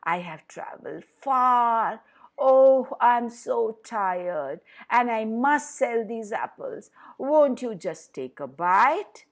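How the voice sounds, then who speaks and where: A woman voicing a poor, weary old fruit seller from a storybook, wheedling and pleading in drawn-out phrases. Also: on the high side, animated, pitched above the performer's own voice. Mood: weary, coaxing.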